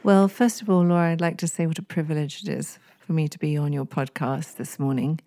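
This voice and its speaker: Low mellow English-accented woman. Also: fairly even intonation, fairly quick, no room sound, clean studio sound. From East Africa. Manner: thoughtful, articulate, formal phrasing. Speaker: guest author. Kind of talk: podcast interview.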